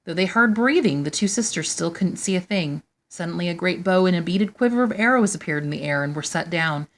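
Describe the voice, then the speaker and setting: A woman hosting storytime, reading a children's picture book aloud in a measured, expressive way. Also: on the low side. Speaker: storytime host and reader.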